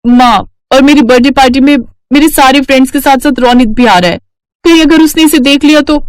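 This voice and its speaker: A woman's voice: high-pitched, brisk, dry recording, voice pushed up, lightly acted. A woman voicing a spoiled, jealous and cruel teenage stepsister in a cartoon story, whiny, haughty and petulant. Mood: whiny, spiteful. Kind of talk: Hindi cartoon story character acting.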